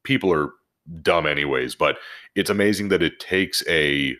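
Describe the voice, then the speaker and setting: A deep-voiced American man co-hosts a casual podcast. He speaks bluntly and emphatically, with salty language, like a service worker venting among colleagues. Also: normal speed, sharp tone. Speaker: podcast co-host; auto service advisor. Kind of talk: casual group chat, venting.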